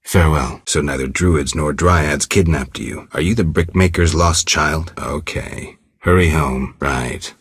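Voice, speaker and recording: A man playing a gruff, laconic monster hunter for hire in a video game, speaking tersely with a dry, deadpan delivery and a gravelly rasp. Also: rumbling low, measured pace, hardly any pauses, no room sound, exaggerated voice. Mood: flat, businesslike. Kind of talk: video game dialogue acting.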